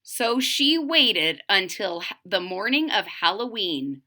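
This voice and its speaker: Bright, animated woman reading to children. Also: medium pitch, takes it slowly. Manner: expressive, explains and repeats for young listeners. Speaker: storybook reader. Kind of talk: children's picture-book read-aloud with asides to viewers.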